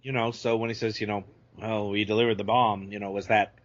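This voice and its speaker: A man's voice: on the low side, flat delivery, fairly quick, a bit bright. A man chatting casually about films as a podcast guest, thoughtful and hesitant. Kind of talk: casual film discussion. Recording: slightly muffled audio.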